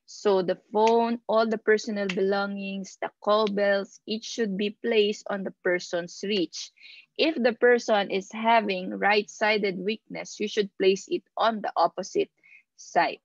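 A woman lectures as an instructor, reading points off slides and then explaining them. Her delivery is slow and halting, with pauses in the middle of phrases, at a medium pitch. The sound is that of a dull, lo-fi video call with very little room echo. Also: fairly even intonation. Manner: halting, pausing mid-phrase. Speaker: instructor of nursing assistant course.

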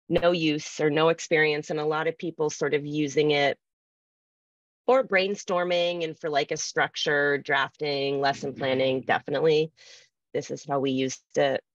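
A middle-aged woman presenting an informal online workshop, thinking aloud in a halting way as she reads out responses. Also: sits low, no echo. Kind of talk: informal conference workshop talk, reading out poll responses. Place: video call from a room with bookshelves. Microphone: computer mic on video call. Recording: slightly dull video-call audio.

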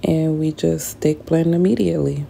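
A woman's voice: deep voice, steady tone, normal speed, vocal fry, mellow, a little hiss or hum. A woman, narrating a skincare tutorial in a casual, explanatory voice-over, sounding stuffy as she gets over an illness. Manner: casual, explanatory, addresses viewers directly.

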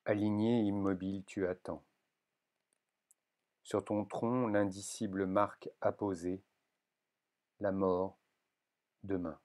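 A man reading poems aloud in a solemn, measured manner, leaving pauses between short phrases. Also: low voice, flat delivery, a little mellow. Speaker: poetry reader.

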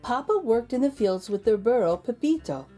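A woman narrating a children's storybook in an expressive, deliberate storytelling manner. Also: a little high, soft background music. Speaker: children's read-aloud host.

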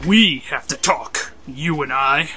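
Guy doing fed up curt reporter, high lively voice, clicky noisy audio. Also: slow pace. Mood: stern. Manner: indignant, curt. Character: suspicious, exasperated mild-mannered reporter, secretly a superhero. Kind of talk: comic-book dub.

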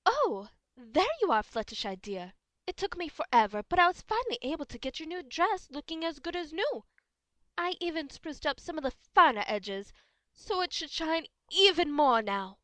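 A woman's voice: high voice, sing-song, normal speed, pushed and bright, dry recording, exaggerated voice. A woman voicing an elegant, theatrical, gossipy female cartoon unicorn pony. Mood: effusive. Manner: refined, effusive, theatrical. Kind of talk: amateur cartoon fan audio drama.

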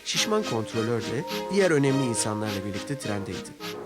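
A man's voice: lowish voice, very animated, brisk, loud music behind the voice. A man narrating a scripted children's story as a storyteller.

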